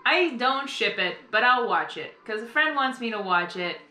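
Bright, animated young woman reacting, roomy sound. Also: fairly high pitch, moderate pace. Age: young adult. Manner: expressive, chatty. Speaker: reaction video host. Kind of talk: casual live reaction commentary. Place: small bedroom, indoors. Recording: echoey room, faint show audio behind.

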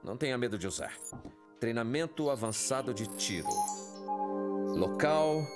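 A man's voice: mid-range voice, lively intonation, normal speed, over music, natural voice. An actor playing a cocky, laid-back male space squadron major in a sci-fi audio drama, speaking in a casual, dryly dismissive way. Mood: cocky, reluctant.

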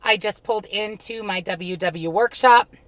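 A woman's voice: mid-range voice, a little slow, fairly bright tone, no echo. A woman in her thirties, her voice slightly scratchy, chatting upbeat to viewers from inside a parked car, filmed with a phone held at arm's length. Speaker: vlogger. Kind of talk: casual vlog talk to camera. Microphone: phone camera at arm's length.

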